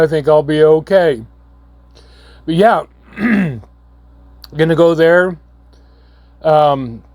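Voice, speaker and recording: A hoarse man, coming down with a cold, giving a halting, earnest update to his viewers. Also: a little high, darkish tone, no echo. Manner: halting, repeats words, slow and earnest. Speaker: channel host. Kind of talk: casual vlog update.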